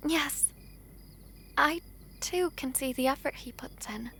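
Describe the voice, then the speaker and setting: A woman playing a gentle, earnest young princess in a romantic fantasy audio drama, speaking softly and formally. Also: high-pitched, vocal fry, a little hiss or hum, heavily acted. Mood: warm, grateful. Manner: soft, formal, measured diction with tender pauses.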